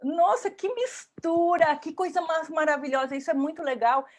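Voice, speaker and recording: A woman's voice: high-pitched, normal speed. Effusive Brazilian woman interviewer. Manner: enthusiastic, effusive praise. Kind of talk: casual interview. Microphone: video call.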